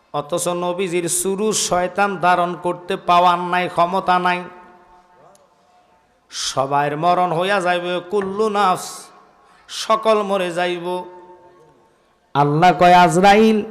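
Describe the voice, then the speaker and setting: A Bangladeshi man preaching an Islamic sermon, telling stories in an impassioned, declamatory way with drawn-out, chanted phrases. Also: high-pitched. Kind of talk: Bengali waz sermon, dramatic storytelling. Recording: long reverb from loudspeaker system.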